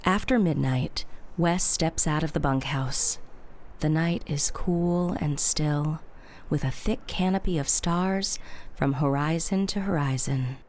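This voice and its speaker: Low-voiced woman narrating an audio drama. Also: a little mellow. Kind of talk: scripted present-tense audio drama narration.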